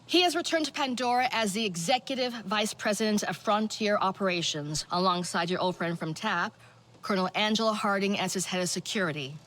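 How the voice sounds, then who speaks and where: A woman's voice: mid-range voice, fairly quick, a bit bright, natural voice. A woman playing a sober, seasoned resistance leader in a cinematic video game, briefing her team. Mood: grave.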